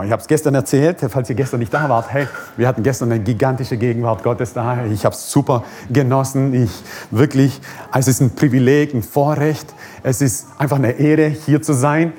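A man's voice: mid-range voice, warm tone. A man from Croatia, preaching a fervent, repetitive sermon and prayer with joking asides.

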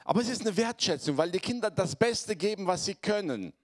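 A man preaching to his congregation, hoarse from a cold, speaking in a conversational way and often checking in with his listeners. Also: high-pitched, lively intonation, a little fast. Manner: conversational, addresses congregation with tag questions. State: has a cold, voice affected. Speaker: pastor. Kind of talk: sermon.

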